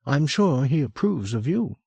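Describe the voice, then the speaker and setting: A warm, gently teasing woman in middle age, as a male narrator voices her. Her talk lilts and rises, then drops to a hush. Close and dry, like a storybook reading. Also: mid-range voice, very animated, a little slow, vocal fry, husky, no room sound, pitched above the performer's own voice, full character voice. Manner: lilting and chatty, drops to a hush. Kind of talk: audiobook character voice.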